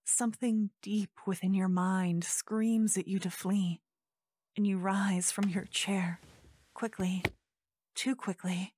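A woman narrating a scripted second-person fantasy story in ASMR style, hushed, measured and suspenseful. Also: mid-range voice, fairly even intonation.

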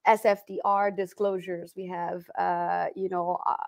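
A woman in her thirties, a studio interview guest, explaining investing in an energetic, emphatic and fluent way. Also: lowish voice, a little slow, gravelly. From Malaysia and Canada. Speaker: impact investing expert guest. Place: studio set with dark backdrop.

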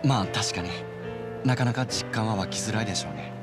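A man's voice: on the low side, lively intonation, natural voice. Actor as courteous young man, music behind. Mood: attentive, sincere. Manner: courteous, measured, formal polite speech. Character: polite, soft-mannered, helpful young man. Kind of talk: Japanese video game cutscene acting.

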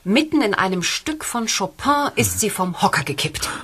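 An actress playing a bereaved middle-aged client and friendly hostess in a German audio drama. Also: female voice, medium pitch, animated, normal speed, fairly bright tone, husky. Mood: matter-of-fact.

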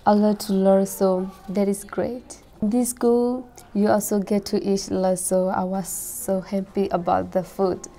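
A teenage girl from Burma reads her personal story as a high school student, in careful, simple phrasing. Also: level tone, unhurried, few pauses, mellow. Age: late teens.

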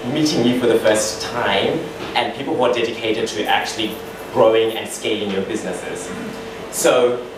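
A young man from Zimbabwe, a lawyer, telling his story in an earnest, expressive way on stage at a startup meetup. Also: fairly low pitch. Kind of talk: live stage talk with handheld mic. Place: large dark event hall, on stage. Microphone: handheld mic through PA, filmed from far. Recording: echoey hall, distant camera, noisy.

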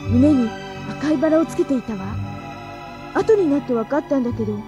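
An actress playing an eager, cheeky high-school girl, the narrator and heroine of a radio drama. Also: expressive, brisk, over music. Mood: narrating brightly. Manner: youthful, eager, girlish.

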